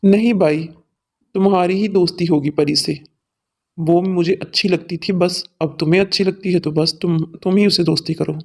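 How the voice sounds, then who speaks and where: A man's voice: high voice, steady tone, moderate pace, dry recording. A man voicing a loyal, protective young college man who stands by his friend in a cartoon moral story. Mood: earnest.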